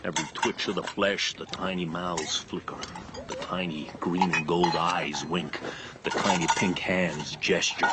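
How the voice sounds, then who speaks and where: Deep, brooding American carnival drifter. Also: male voice, steady tone, unhurried, heavy background noise. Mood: ominous. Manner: brooding, dramatic, with hushed dwelling on words. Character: bitter, drifting carnival man covered in living tattoos. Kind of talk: radio drama acting.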